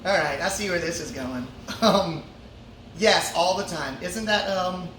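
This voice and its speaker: A man's voice: high voice, a little slow, fairly bright tone. Laid-back man in an echoey brewery. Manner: laid-back, joking, many fillers. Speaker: musician answering fan questions. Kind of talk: casual Q&A chat. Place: brewery before a show. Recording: roomy echo, from a distance, ambient noise.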